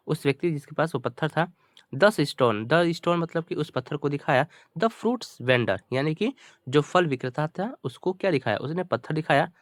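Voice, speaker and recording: A man with a fairly high voice gives a language lesson through a story. He reads phrases deliberately, then translates and repeats them word by word. The sound is dry, with next to no room echo. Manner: explanatory, repetitive, slow deliberate reading of English phrases. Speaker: teacher, channel host.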